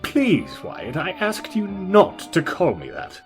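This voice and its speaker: A man's voice: high voice, huge pitch swings, slow, music in the background, full character voice. A man acting a genial Wild West gambler who reins in his partners, in a fan comic dub. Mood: dramatic.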